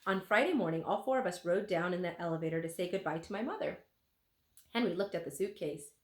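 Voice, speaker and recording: A woman reading a children's book aloud as a teacher would, with an expressive, animated delivery. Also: lowish voice, quick pace. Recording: roomy, echoing room sound.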